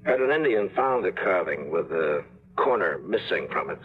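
An actor playing a scheming, aging and tired treasure hunter in a 1950s radio drama, talking in a wheedling, sly drawl. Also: male voice, sits low, very animated, moderate pace, soft background music. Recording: lo-fi old radio broadcast.

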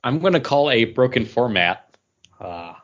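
A man's voice: mid-range voice, very animated, a little slow. A man co-hosting a comics podcast, chatty and leaning on the word "like". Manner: chatty, leans on "like". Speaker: podcast co-host. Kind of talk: casual chat. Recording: dull lo-fi audio.

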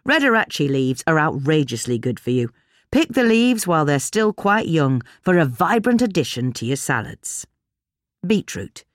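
A woman's voice: deep voice, big pitch swings, moderate pace, no room sound, clean studio sound. A woman with a British accent reading a scripted article as a narrator.

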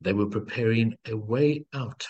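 A man's voice: lowish voice, normal speed, darkish tone, highs cut off. A middle-aged man from South Africa, a life coach, telling stories in an earnest, encouraging way and repeating key phrases. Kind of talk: reflective storytelling in conversation.

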